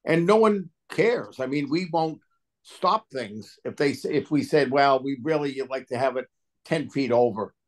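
A man's voice: medium pitch, expressive, normal speed. A man with a mid-range voice speaks at a virtual public hearing. He explains technical review work informally, in plain colloquial language, as an official would. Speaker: water and sewer agency official at a hearing. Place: remote video call.

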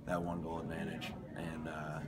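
A young team captain answers postgame questions in a hallway, steady and measured with fillers. His voice is very low and almost monotone. Recorded from a little way off, with loud background noise behind him. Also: distant mic. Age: young adult. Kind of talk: postgame interview. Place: indoor hallway.

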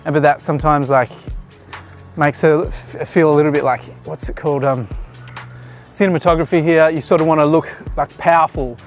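A man's voice: fairly high pitch, fairly even intonation, a little fast, soft background music. A man in his thirties, a photographer, casually explaining his shots while giving directions to a model outdoors. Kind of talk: casual behind-the-scenes commentary. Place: outdoors on a beach jetty.

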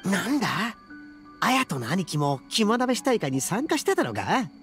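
A brash, playful oni in a video game. He talks in rough, boastful slang with very animated intonation, over faint music. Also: high-pitched, normal speed, full character voice. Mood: excited, boastful. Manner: rough, cocky slangy speech. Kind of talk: video game cutscene acting.

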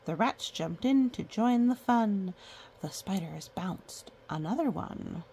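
A woman's voice: medium pitch, lively intonation, a little slow, dark tone, slightly rough. A woman reading a children's picture book aloud in a playful way, breaking off for chatty asides and sing-song counting.

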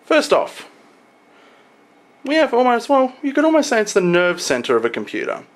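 A young adult man talking informally to a camera in a home room, explaining things in a halting, casual showcase style. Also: very high-pitched, wildly swooping pitch. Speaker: tech vlogger. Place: small home room, indoors.

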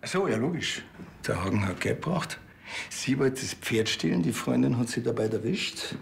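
An actor playing a dry, sardonic police officer in a TV drama, curt and sarcastic, with a Bavarian accent. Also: male voice, mid-range voice, lively intonation, normal speed, vocal fry, slight background noise.

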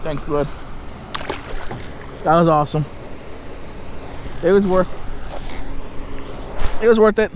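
A man's voice: high voice, slow, pauses often, slight background noise. Man vlogging from a kayak. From North Texas. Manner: excitable, folksy exclamations. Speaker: fishing vlogger. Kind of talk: casual outdoor vlog commentary. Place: outdoors on a kayak on open water.

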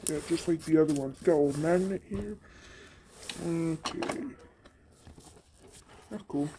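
A video creator chatting casually and haltingly through an unboxing, with the voice run through a voice changer. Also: medium pitch, noisy background. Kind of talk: casual chat. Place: indoors at a tabletop.

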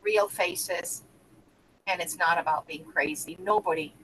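A woman's voice: on the low side, fairly even intonation, unhurried, fairly bright tone, slight background noise, rough recording. A middle-aged woman co-hosting a podcast over a video call, speaking with passion and emphasis from a small room. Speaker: podcast co-host. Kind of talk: casual video-call chat.

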